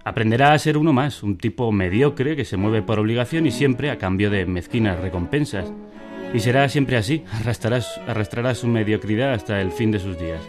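A man's voice: lowish voice, brisk, over music, close to an everyday voice. An actor in a satirical radio drama playing an anxious, stubborn, idealistic father, emphatic and repetitive, with a Castilian Spanish accent. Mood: impassioned.

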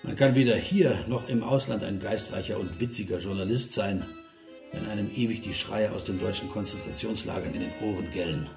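A man's voice: fairly low pitch, fairly quick, close to an everyday voice. An actor playing a bitter, disillusioned journalist in a radio drama, sardonic and rhetorical in his despair. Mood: bitter, mournful. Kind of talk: radio drama, declamatory speech. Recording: roomy echo, music behind.

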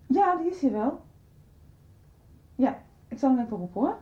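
An obliging, soft-hearted young woman in a radio soap. Her high voice moves around a lot, with a mellow tone. The recording is slightly dull with faint noise. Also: very animated, moderate pace, echoey room. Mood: friendly, obliging. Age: young adult. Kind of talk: 1990s radio soap acting.

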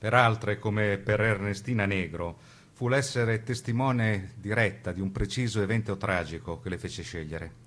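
A man with a lowish voice narrates a scripted radio drama-documentary. His delivery is formal. He phrases each clause deliberately, as a narrator reading prepared text. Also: a bit bright.

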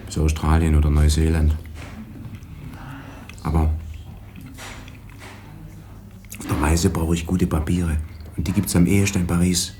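Low Swabian-accented man, wheedling fugitive. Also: level tone, normal speed, long pauses, noisy background. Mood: hopeful, wheedling. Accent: southern German (Swabian). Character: cajoling escaped convict on the run, needy younger brother. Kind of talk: 1980s German radio drama dialogue.

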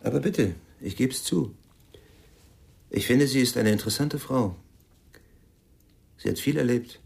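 A man's voice: mid-range voice, a little slow, vocal fry, darkish tone. A man acting a stern, easily irritated husband and father in a German radio play, speaking matter-of-factly and curtly.

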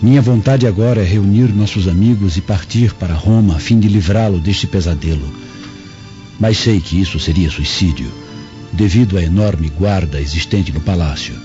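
Man playing a proud bitter dad out for revenge, radio play, solemn, low mellow voice, music behind. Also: highs cut off, close to an everyday voice. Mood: tender, anguished. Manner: solemn, measured, reading out a letter. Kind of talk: radio drama monologue.

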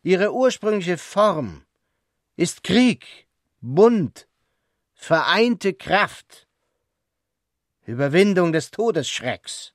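Declamatory prophet with sweeping intonation. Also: male voice, high voice, sing-song, dry recording, studio quality, exaggerated voice. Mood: fervent, preaching. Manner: declamatory, emphatic, slow pronouncements. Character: pompous male prophet and writer, zealous, dismissive of women. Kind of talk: literary radio drama acting.

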